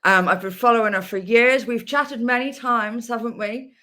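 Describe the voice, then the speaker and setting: Hesitant woman host, higher voice. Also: steady tone, pushed and bright. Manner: hesitant, halting questions with fillers. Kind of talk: casual interview.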